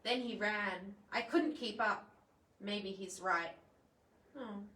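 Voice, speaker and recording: A woman reading a children's picture book aloud in a playful, expressive way, adding chatty asides for young listeners. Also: medium pitch, takes it slowly. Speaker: library storytime presenter. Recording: echoey room, distant microphone.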